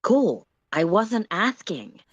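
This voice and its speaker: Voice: mid-range voice, big pitch swings, slow pace, no room sound, dull audio, pitched above the performer's own voice. Wry male alien crewmate, acted by a story reader, dry, insistent and bossy, close dry sound.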